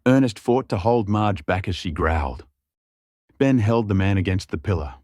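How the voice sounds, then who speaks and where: A man's voice: lowish voice, expressive, moderate pace, a little mellow, no echo, studio quality. A man reading scripted fantasy fiction as a storyteller, in a dramatic narration style.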